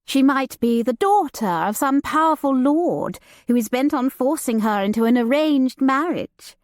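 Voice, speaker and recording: A woman's voice: high-pitched, animated, a little slow, dry recording, studio quality, voice pushed up. A woman voicing a flighty, romantic young noblewoman with a lilting, gossipy manner. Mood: playful.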